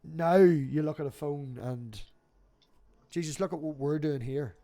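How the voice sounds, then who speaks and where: Male, middle-aged, slightly high register, casual and sweary livestream talker who spins anecdotes. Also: measured pace, dark tone, soft background music. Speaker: livestream host.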